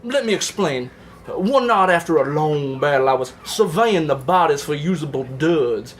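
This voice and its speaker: A lewd, boastful Confederate soldier acted out by a man reads his letters home in a high-pitched voice. His delivery is folksy and florid, comic stage material with clear background noise. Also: animated, moderate pace, close to an everyday voice. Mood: earnest confession. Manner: folksy, old-timey, florid. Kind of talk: comedic live reading of love letters.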